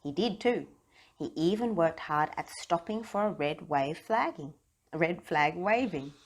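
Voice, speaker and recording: A woman narrating a children's storybook aloud, expressively and with deliberate emphasis on key phrases. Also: fairly low pitch, animated.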